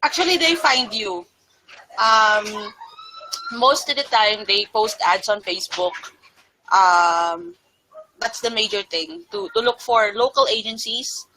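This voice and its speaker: Bright-voiced Filipino woman. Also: medium pitch, animated, takes it slowly, rough recording. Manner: mixes Tagalog and English, casual. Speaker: guest freelancer. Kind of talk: casual interview answers.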